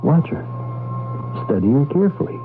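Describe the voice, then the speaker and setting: An actor in a 1980s radio drama playing a cautious underground conspirator, giving insistent warnings with dramatic pauses. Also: male voice, fairly high pitch, sing-song, narrow, tinny audio. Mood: insistent, placating. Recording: band-limited old radio broadcast, music underneath.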